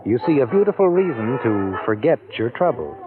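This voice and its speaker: A man's voice: mid-range voice, very animated, brisk. A man narrating a 1940s radio mystery in an ominous tone, speaking to the listener as "you". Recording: tinny old radio broadcast with music.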